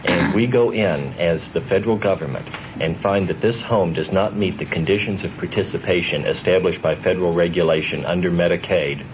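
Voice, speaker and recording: A man speaking as a government official, explaining formally with deliberate, bureaucratic phrasing. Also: low-pitched. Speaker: government administrator. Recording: dull, noisy 1970s news actuality tape.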